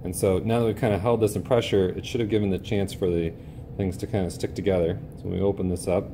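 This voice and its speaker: A man with a very low voice walks through a cooking demonstration in a relaxed, explanatory way, leaning on casual fillers. He is recorded on a camera mic at an indoor bench, with a clear steady hiss of background noise under his voice. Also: fast talker. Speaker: teacher demonstrating a recipe.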